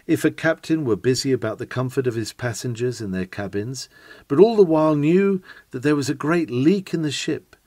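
A man reading an old sermon aloud in an earnest, emphatic, oratorical manner, with measured phrasing. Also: mid-range voice, darkish tone, no room sound. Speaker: reader of a historic sermon.

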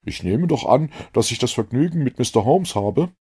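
A man voicing an eager, talkative young gentleman scientist in an audiobook, effusive and fussily precise. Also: mid-range voice, lively intonation, normal speed, breathy edge. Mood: gushing fascination.

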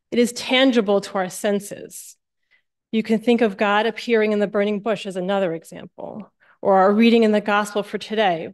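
A woman's voice: medium pitch, darkish tone. A middle-aged American woman from New Jersey giving a sermon with personal storytelling at a church lectern. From New Jersey, United States. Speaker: church guest speaker.

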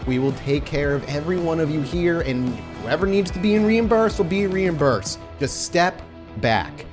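A man's voice: on the high side, animated, moderate pace, over music, voice pushed up, lightly acted. A man voicing a firm, flustered woman security guard with a placating, official manner in a tabletop game.

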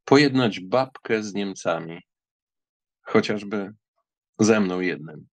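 A man playing a proud, courteous young count with a measured, polite and ironic manner in an acted audiobook. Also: fairly low pitch. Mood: humble, conciliatory.

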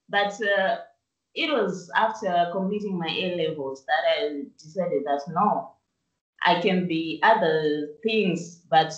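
Slow-speaking woman from Zimbabwe on a video call. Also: fairly low pitch. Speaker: musician and actress guest. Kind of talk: casual video-call interview. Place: small room indoors. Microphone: video call webcam. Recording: distant, roomy, dull video-call audio.

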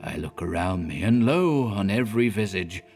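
A man's voice: lowish voice, expressive, moderate pace, creaky, darkish tone, soft background music. A man voicing a dying old clergyman who speaks faintly and solemnly, in a storybook reading. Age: older.

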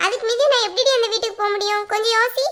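Woman doing an annoyed young mom in a family drama, super high sharp voice, blunt and scolding. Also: steady tone, rapid-fire. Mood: irritated, scolding. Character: exasperated young mother, scolding and threatening her little daughter.

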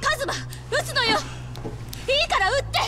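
A woman's voice: very high-pitched, lively intonation, normal speed, heavy background noise. An actress playing a resolute, desperate female hostage in a dramatic video game cutscene. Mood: urgent, resolute.

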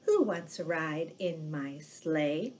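A woman voicing a friendly, obliging male horse in a children's storybook, hearty and drawn-out. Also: deep voice, expressive, background noise, pitched below the performer's own voice. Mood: cheerful, obliging.

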